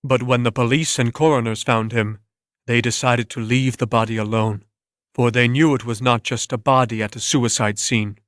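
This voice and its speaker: A man reading a scripted story as narrator, in a measured way with dramatic pauses. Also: medium pitch, steady tone, dry recording, clean studio sound.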